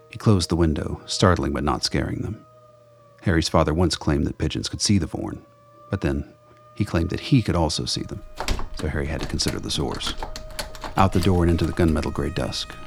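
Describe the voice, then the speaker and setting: Very deep, gravelly male narrator. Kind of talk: scripted radio play narration, noir-like.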